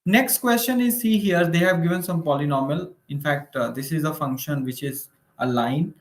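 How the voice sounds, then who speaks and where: Higher-pitched Indian man teaching in echoey room. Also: normal speed. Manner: explanatory, frequently checking with tag questions. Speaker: maths teacher for exam preparation. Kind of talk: informal online lecture, working through problems. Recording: roomy, echoing room sound.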